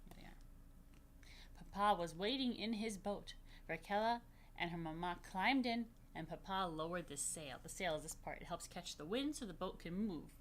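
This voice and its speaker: A woman's voice: lowish voice, normal speed, a little hiss or hum. A woman rabbi leading a children's story time, reading aloud and adding playful, simply worded asides with plenty of repetition. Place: virtual story time recorded in a room.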